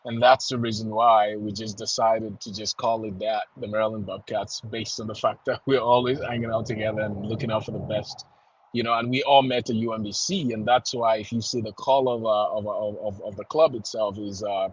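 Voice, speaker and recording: A man's voice: fairly low pitch, steady tone, a little fast, husky, dry recording, muffled recording. A man with a Nigerian accent chatting informally as an interview guest, often adding "you know" and "man". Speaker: soccer club founder, podcast guest. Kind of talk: casual interview chat.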